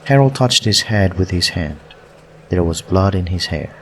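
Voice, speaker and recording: A man with a very low voice reads story narration for an audiobook. The delivery is scripted, and there is faint noise on the recording. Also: animated, normal speed, fairly bright tone, poor audio.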